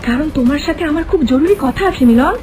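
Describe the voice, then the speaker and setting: A woman's voice: high voice, fast talker, music in the background, close to an everyday voice. A woman voicing a possessed young woman in a cartoon, speaking in an insistent, pushy, defensive way.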